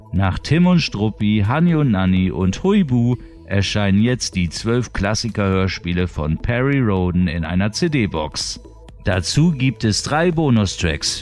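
A man presents scripted news. His voice sits on the low side and moves up and down with lively intonation. Soft music plays behind him. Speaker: news presenter of an audio drama radio programme.

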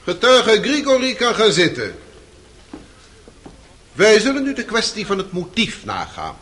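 A man's voice: high-pitched, wildly swooping pitch, measured pace, fairly bright tone, a little hiss or hum, full character voice. A male actor playing a relentless, formal public prosecutor who presses and accuses in a radio drama. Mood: stern, probing.

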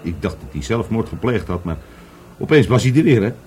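A man's voice: on the low side, big pitch swings, a little fast, mellow, husky. An actor in a Dutch radio play playing a drunken, bitter divorced man who rambles, stammers and repeats himself. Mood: agitated, tipsy. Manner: stammering, repetitive, tipsy.

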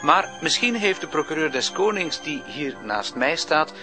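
An actor playing a formal, measured news reporter on location in a radio drama. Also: male voice, a little high, very animated, over loud music.

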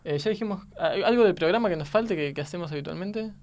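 A man's voice: high voice, fast talker, darkish tone. A man with an Argentine accent co-hosting a comedy radio show, bantering casually and announcing segments.

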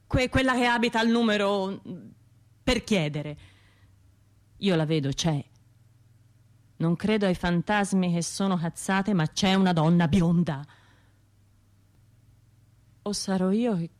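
Actress as an anxious, halting Tuscan woman, slow-paced. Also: medium pitch, close to an everyday voice. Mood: troubled, defensive. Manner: halting, fragmented, self-interrupting inner monologue. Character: traumatised woman bombing survivor, anxious and self-doubting, talking to herself. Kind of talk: radio drama monologue.

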